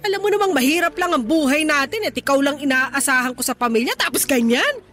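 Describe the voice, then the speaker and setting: Radio-drama role: sharp, high, bright-voiced nagging mother, bitter and reproachful. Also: lively intonation, fast. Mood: scolding. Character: scolding poor mother, middle-aged, bitter and nagging. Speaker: actor.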